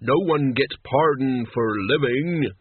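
A man's voice: mid-range voice, measured pace, breathy edge. A man voicing Death's irritable young male apprentice, commanding and menacing, in an audiobook story. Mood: leaden menace. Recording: muffled, echoey, phone-like radio sound.

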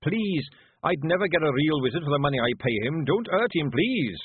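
A man's voice: a little high, animated, measured pace, a little hiss or hum, voice pushed up. A man voicing a nervous little male shopkeeper who begs and pleads anxiously. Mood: pleading. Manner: anxious, begging. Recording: tinny phone-like sound.

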